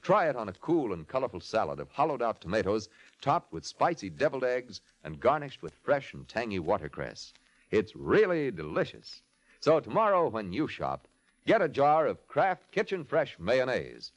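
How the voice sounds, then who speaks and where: A man's voice: mid-range voice, huge pitch swings, moderate pace, poor audio. A man announcing in a scripted 1940s radio style, with a polished, emphatic salesmanlike delivery. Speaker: announcer, narrator and sponsor pitchman.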